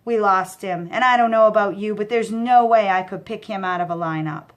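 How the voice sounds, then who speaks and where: A woman's voice: mid-range voice, fairly quick, lightly acted. Woman doing a whiny, dim-witted big goon. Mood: worried. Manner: whining, rambling, defensive. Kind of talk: children's audiobook character voice.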